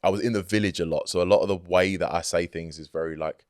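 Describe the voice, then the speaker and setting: A young man with a deep, smooth, resonant voice chats easily as an interview guest. His talk is laid-back and loose, full of filler phrases. The sound is close and dry, as in a studio. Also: fairly even intonation, fast talker, no room sound. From Greek heritage, grew up outside Greece. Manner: laid-back, peppered with "like" and "you know what I mean". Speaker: hip-hop artist guest. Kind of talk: casual interview chat. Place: recording studio.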